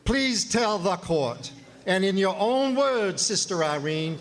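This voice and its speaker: A man playing a formal, pointed attorney questioning a witness deliberately in a radio drama. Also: high voice, lively intonation, exaggerated voice. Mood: formal, probing. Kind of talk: radio drama courtroom acting.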